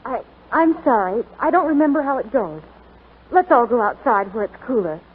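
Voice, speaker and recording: A woman's voice: on the high side, expressive, normal speed, over music, lightly acted. A self-conscious young housewife, played by an actress in a 1940s radio advert. She frets in an embarrassed way, and the old broadcast sound is thin and narrow. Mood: flustered, self-conscious. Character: embarrassed young wife fretting over her dishwater hands. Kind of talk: 1940s radio commercial acting.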